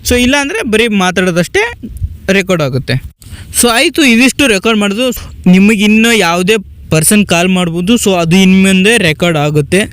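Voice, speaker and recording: Guy w doing a phone how-to, really high voice, talks quick, dry close sound. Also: smooth, dry recording. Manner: repetitive instructional phrasing, often starting sentences with "so". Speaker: tech tutorial host. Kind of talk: casual step-by-step phone tutorial narration.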